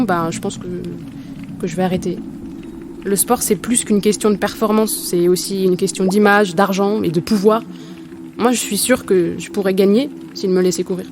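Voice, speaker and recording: A woman's voice: mid-range voice, close to an everyday voice. A woman acting as a discouraged young runner in a fiction podcast, speaking in a frank, colloquial and earnest way.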